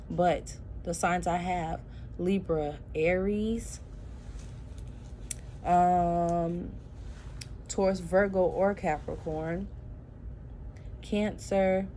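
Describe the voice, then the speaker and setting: Low-ish voiced woman reading tarot, sleepy and chatty, says y'all a lot, faint hiss behind. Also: steady tone, slow, pauses often, mellow. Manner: chatty, addresses viewers as y'all, many fillers. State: sleepy, tired early morning. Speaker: tarot reader. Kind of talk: casual tarot reading to viewers.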